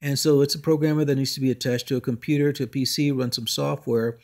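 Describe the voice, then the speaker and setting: A man's voice: medium pitch, fairly even intonation, fast, darkish tone. Man explaining a homemade electronics project. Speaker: electronics hobbyist presenter. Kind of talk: unscripted technical demonstration.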